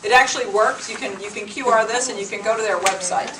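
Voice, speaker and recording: A woman's voice: medium pitch, fast. A woman giving an informal, conversational workshop presentation to a small group, explaining things plainly. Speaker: presenter at a business meetup. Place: meeting room with a small group. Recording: roomy, distant mic with clear noise.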